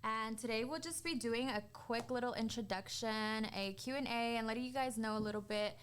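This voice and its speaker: A woman's voice: fairly high pitch, brisk, clean tone. A woman in her twenties co-hosting a podcast in a casual, candid, informal way, often dropping "like" into her sentences. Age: 20s. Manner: informal, candid, peppers talk with "like". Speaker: podcast co-host.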